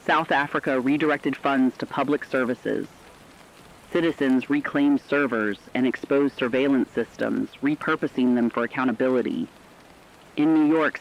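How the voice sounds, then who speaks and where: A man reading scripted radio news as an anchor in a formal, measured way. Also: medium pitch. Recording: dull lo-fi radio sound.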